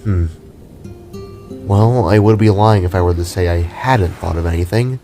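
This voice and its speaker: A man's voice: deep voice, normal speed, warm tone, soft background music. A man voicing an affectionate alien father-to-be in a fantasy audio drama, casual and earnest. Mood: affectionate, hopeful. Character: affectionate male alien spark, devoted expectant father.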